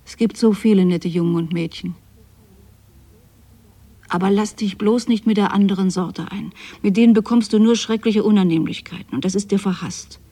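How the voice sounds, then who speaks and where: A woman's voice: mid-range voice, moderate pace, mellow, lightly acted. An actress playing a lonely, possessive widowed mother in a radio drama, speaking a letter to her absent son in a tender, plaintive way. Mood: tender, wistful. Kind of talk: intimate radio drama monologue, spoken as a letter.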